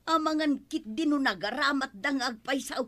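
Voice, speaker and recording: An actress with an Ilocano Filipino accent playing a nagging, suspicious village wife in a radio comedy drama. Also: female voice, sits high, moderate pace, fairly bright tone. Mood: puzzled, suspicious.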